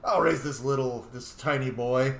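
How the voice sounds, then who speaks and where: Man, casual game commentary, jokey and riffing, middle pitch, roomy echo, faint background noise. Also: moderate pace, fairly bright tone. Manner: jokey, riffing, easily amused. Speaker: gamer playing and commenting on a role-playing game. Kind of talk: casual playthrough commentary with in-character replies.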